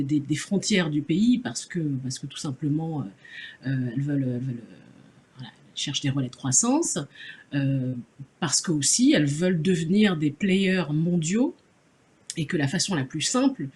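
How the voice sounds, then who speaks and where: A woman's voice: sits low, darkish tone. A woman from Nigeria, English-speaking from birth, speaking as a journalist on a conference panel, hesitant with many fillers and restarts. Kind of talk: panel discussion.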